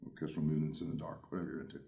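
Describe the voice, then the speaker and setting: A loud, big-voiced man hosting a casual, playful unboxing of earphones. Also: rumbling low, level tone, racing, creaky. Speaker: headphone reviewer. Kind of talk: casual unboxing first impressions.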